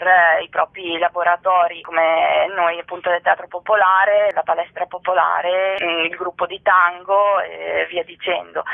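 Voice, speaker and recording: A woman's voice: on the low side, vocal fry, no room sound, narrow, tinny audio. A woman explaining things as the guest in an informal radio interview. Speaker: interviewee, theatre festival organiser.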